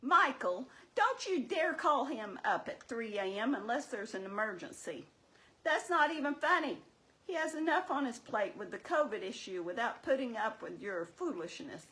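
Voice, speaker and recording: Woman as sensible, teasing church-show puppet: high pitched, swooping intonation, explains and chides. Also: very animated, normal speed, fairly bright tone, poor audio. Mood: chiding, teasing. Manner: explanatory, chiding, wry. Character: Mary, sensible, teasing, scolding female puppet.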